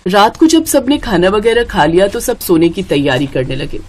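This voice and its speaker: A woman's voice: mid-range voice, lively intonation, normal speed, a little mellow. A woman storyteller reading a scripted narration.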